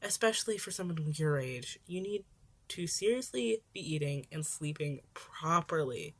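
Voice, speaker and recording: Stern adult male hero, voiced by a female reader, lowish register, flat deadpan delivery, audiobook character work. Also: moderate pace. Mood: blunt, lecturing. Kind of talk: audiobook-style fan fiction character acting.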